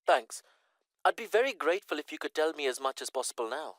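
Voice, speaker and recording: A man's voice: on the high side, very animated, fast talker, fairly bright tone, dry recording, studio quality. A man acting a polite, careful student making an enquiry in a scripted listening-test dialogue. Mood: polite, eager. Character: courteous eager male Pakistani student enquiring by phone.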